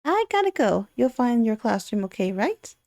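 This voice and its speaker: A woman voicing a bubbly, bossy, high-energy schoolgirl from a visual novel, loud and brisk. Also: very animated. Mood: breezy, bossy.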